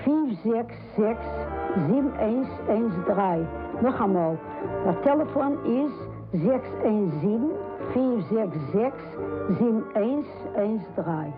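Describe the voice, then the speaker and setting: A woman reading a radio advertisement, spelling out the phone number slowly and clearly. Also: a little high, animated. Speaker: ad reader. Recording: tinny phone-like audio with music.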